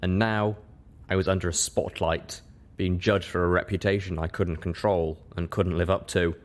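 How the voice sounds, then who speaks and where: A man's voice: low-pitched, steady tone, normal speed. A man reading scripted fiction aloud as an audiobook narrator. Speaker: audiobook reader.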